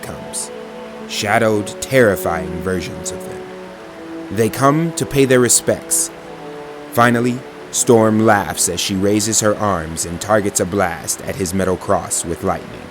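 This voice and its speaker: A man giving dramatic scripted narration, grave and portentous in delivery. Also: lowish voice. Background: dramatic music.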